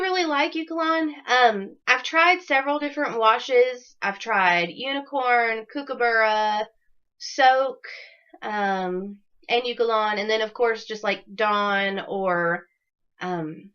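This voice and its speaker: Slightly raspy woman, lo-fi podcast. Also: fairly high pitch, slow pace, a bit bright. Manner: chatty, many ums, upbeat enthusiasm. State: raspy from a cold. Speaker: knitting podcast host. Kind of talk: casual video podcast chat. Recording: dull lo-fi audio.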